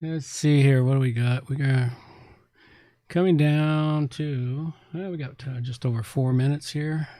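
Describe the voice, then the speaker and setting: A man's voice: mid-range voice, fairly even intonation, unhurried, warm tone, no echo. A man co-hosting a podcast in casual chat, laid-back and muttering to himself as he sets things up. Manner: laid-back, mumbles to himself while setting up. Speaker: podcast co-host.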